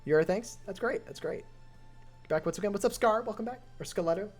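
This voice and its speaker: A young man with a voice going hoarse, calling a mobile strategy game match on his live stream. His play-by-play is excitable and builds to near shouts at close finishes. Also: sits high, a little fast, soft background music. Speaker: esports caster and streamer.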